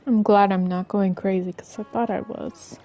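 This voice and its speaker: A woman's voice: medium pitch, fairly even intonation, normal speed, dark tone, soft background music. A woman streaming a video game, casually commenting and dryly talking back to the characters.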